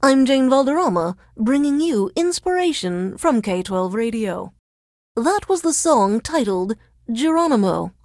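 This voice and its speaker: Deadpan lady radio dj for a video game, sardonic n formal, highish voice, super dry booth sound. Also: dry recording. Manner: deadpan, measured, formal announcer delivery. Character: dry, sardonic female radio DJ under alien occupation. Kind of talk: scripted radio host announcing songs.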